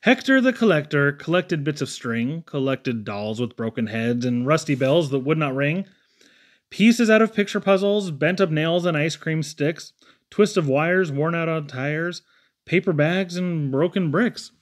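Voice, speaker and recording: Higher-voiced man reading children's poems theatrically. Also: animated, unhurried. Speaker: poetry reader. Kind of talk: expressive read-aloud of children's poems.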